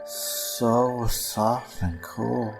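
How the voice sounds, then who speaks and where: A man's voice: medium pitch, fairly even intonation, creaky, over loud music, low-quality recording. A man playing a dazed young man under a spell, speaking dreamily in an audio horror drama. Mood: entranced. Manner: slow, dreamy, drawn-out.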